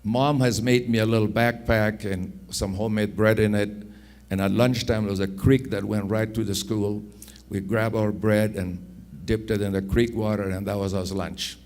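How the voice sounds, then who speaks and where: A man in his sixties from Afghanistan with an Afghan accent, telling personal stories in an unhurried, anecdotal way, repeating phrases for emphasis. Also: lowish voice, steady tone, a little mellow. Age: 60s. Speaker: speaker telling his life story. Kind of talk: personal storytelling.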